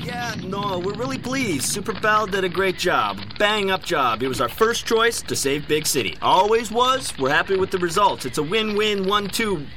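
An actor playing an evasive, bumbling city mayor in a comedic audio drama, hedging in folksy bureaucratic patter, with background noise. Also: male voice, high-pitched, lively intonation, normal speed, hardly any pauses. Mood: breezy, evasive. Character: evasive, bumbling male big-city mayor at press conference.

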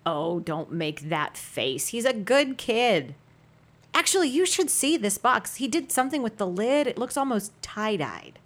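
A woman's voice: a little high, sing-song, a little slow. An actress playing an eccentric, wry small-town mother in her sixties, chatty, playful and evasive in a natural audio drama. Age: 60s.

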